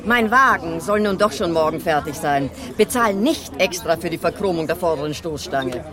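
An actress playing a prim, practical wife in a radio play, brisk and matter-of-fact and fussy over details. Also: female voice, on the low side, lively intonation, a crowd in the background, natural voice. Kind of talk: German radio drama acting.

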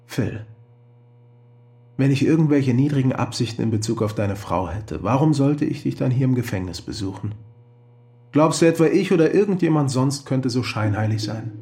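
A man's voice: mid-range voice, lively intonation, normal speed, mellow, lightly acted. An actor playing an earnest, persuasive male friend in a radio drama.

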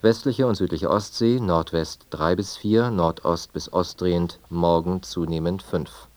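A man reading a scripted radio news and weather bulletin in standard German from Germany, formal and measured. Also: sits low, fairly even intonation, darkish tone, no room sound. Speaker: radio newsreader.

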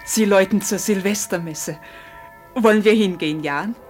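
An actress playing an anxious, plain-spoken ageing peasant wife in a German radio play, with a concerned manner. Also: female voice, mid-range voice, normal speed, faint music behind, lightly acted. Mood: worried. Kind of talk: 1950s German radio drama acting.

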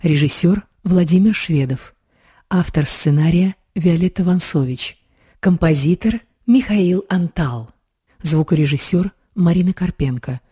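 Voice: mid-range voice, unhurried, mellow, breathy edge, dry recording, clean studio sound. An announcer reading scripted radio play credits.

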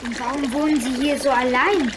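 A woman's voice: sits high, fairly even intonation, fairly quick, noisy background, close to an everyday voice. A woman voicing a curious, wary little boy in a radio play, with a childlike, questioning manner. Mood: curious, uneasy.

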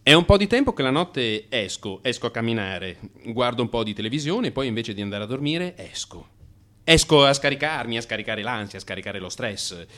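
A man's voice: medium pitch, very animated, brisk. An actor playing an anxious married man in crisis, confessing to his analyst in an agitated, emphatic radio theatre monologue. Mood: restless. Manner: agitated, emphatic, swinging from hushed mutter to outburst.

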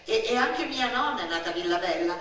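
A woman, performing a theatrical autobiographical monologue in an expressive, wry, deliberately phrased way. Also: mid-range voice. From Turin, Italy. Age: 50s. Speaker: stage storyteller, actress. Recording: distant, very echoey room.

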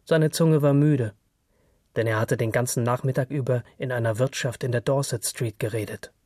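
A man's voice: mid-range voice, normal speed, darkish tone, dry recording, studio quality. A man narrating literary prose in a scripted radio drama style.

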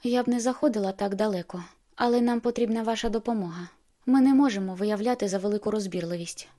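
Lady reader as vampire seer girl, smug but soothing, mellow voice, sure of herself, audiobook. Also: medium pitch, fast, close to an everyday voice. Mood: pragmatic. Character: self-assured, cool female vampire seer.

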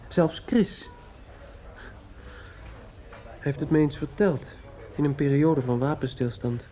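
An actor playing an earnest, bitter young student in a Dutch radio drama, speaking formally and pointedly. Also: male voice, a little high, mellow. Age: young adult. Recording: dull, muffled old radio recording.

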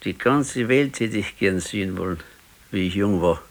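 A man's voice: lowish voice, normal speed, close to an everyday voice. An actor playing a discontented, regretful husband in his sixties in a naturalistic radio play, talking plainly with a Bavarian accent. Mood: brooding regret. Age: 60s. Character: discontented, regretful ailing 68-year-old husband. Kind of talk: naturalistic 1970s radio play dialogue in dialect.

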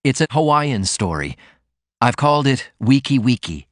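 A man's voice: mid-range voice, sing-song, moderate pace, no echo, studio quality, pitched above the performer's own voice, lightly acted. A man reading aloud, voicing an earnest, stubborn young male writer who speaks with fervent emphasis. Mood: eager.

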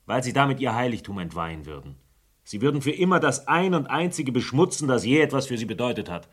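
An actor playing a wary, level-headed investigator in a radio crime drama, speaking in a controlled, dry, probing way. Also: male voice, medium pitch, sing-song, fast talker, husky. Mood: urgent persuasion. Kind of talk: German radio crime drama acting, noir narration.

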